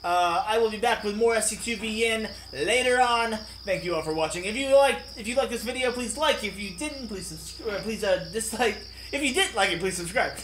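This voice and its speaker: A man's voice: high voice, animated, fairly quick, few pauses, a little hiss or hum. A man hosting a let's play, reading a visual novel aloud and sometimes tripping over lines. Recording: roomy voice under loud game music. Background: loud music.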